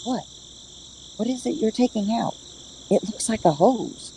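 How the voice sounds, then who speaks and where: A woman playing a wary, skeptical female sidekick with an expressive, questioning delivery in an audio play. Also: fairly high pitch, measured pace, creaky. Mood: incredulous. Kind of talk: amateur audio play acting. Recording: steady noise behind the voice.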